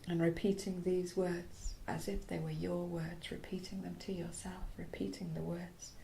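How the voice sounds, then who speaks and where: A woman guiding a yoga nidra relaxation in a soothing, hushed manner, in short phrases with long pauses. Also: on the low side, level tone, vocal fry, a little hiss or hum. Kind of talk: guided relaxation meditation.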